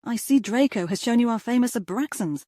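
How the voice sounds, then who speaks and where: A woman's voice: fairly high pitch, a little fast, mellow, no room sound, clean studio sound, close to an everyday voice. A woman playing a gracious, genteel aristocratic mother in a comic dub. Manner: polite, genteel.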